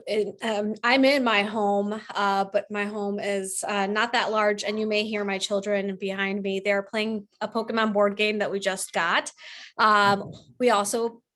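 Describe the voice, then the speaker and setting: A woman's voice: mid-range voice, fairly bright tone. A woman talking from home on a video call, chatty, rambling and self-deprecating. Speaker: associate program director. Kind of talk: casual video call introduction.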